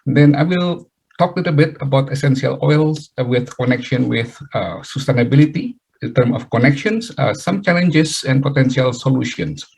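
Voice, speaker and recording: A middle-aged man with an Indonesian accent giving a webinar lecture over a video call, halting, with many fillers and restarts. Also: mid-range voice, creaky, darkish tone, breathy edge, low-quality recording. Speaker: guest speaker, chemical engineering professor.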